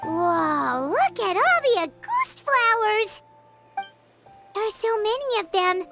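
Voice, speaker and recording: A woman voicing a tiny, childlike, excitable fairy girl in a video game, with a squeaky voice and naive, questioning manner. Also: slow, heavily acted. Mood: amazed. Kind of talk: video game character dialogue. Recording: music behind the voice.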